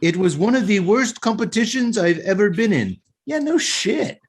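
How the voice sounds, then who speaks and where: A man's voice: sits high, normal speed, breathy edge. A man hosting a podcast, joking around with friends and reading out a news story with wisecracks. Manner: animated, joking, reads news aloud with wisecracks. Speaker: podcast host. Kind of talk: casual comedic banter.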